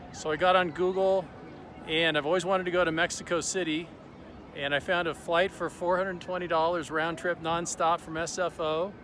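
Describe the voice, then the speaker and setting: A man in his fifties chatting casually and cheerfully to his phone camera in a large open city square, laughing often. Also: a little high. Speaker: vlog host. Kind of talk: casual travel vlog to camera. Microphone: phone at arm's length, selfie framing. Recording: outdoor city noise behind.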